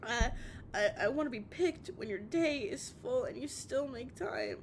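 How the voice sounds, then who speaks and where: A woman playing a drunk, heartbroken, obsessive young lover in an intimate audio roleplay, tearful and halting, stammering and repeating herself. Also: high-pitched, lively intonation, a little hiss or hum. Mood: hurt, tearful.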